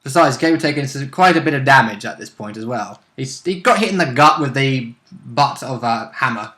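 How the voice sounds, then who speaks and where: A man's voice: mid-range voice, lively intonation, fairly quick, bright, forceful tone. A man co-hosting a tabletop role-play recap in casual, joking, sweary chat.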